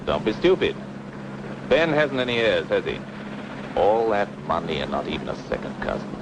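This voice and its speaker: An actor playing a smug, scheming ex-husband with mocking, drawling sarcasm in an old radio drama. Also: male voice, lowish voice, big pitch swings, moderate pace, noisy background. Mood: scheming, cynical.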